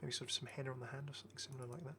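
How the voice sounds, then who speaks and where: A man in middle age hosts a gaming video in a medium-pitched voice. He talks casually and with enthusiasm, circling back to repeat phrases as he comments on what he is showing. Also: steady tone, racing, fairly bright tone, a little hiss or hum. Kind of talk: casual game skin showcase commentary.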